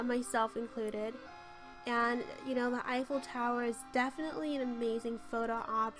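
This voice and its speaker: A woman with a cold and a hoarse voice, giving a chatty, gushing voice-over tour of a game build with frequent ums. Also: on the high side, rough recording. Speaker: game channel host. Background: light background music.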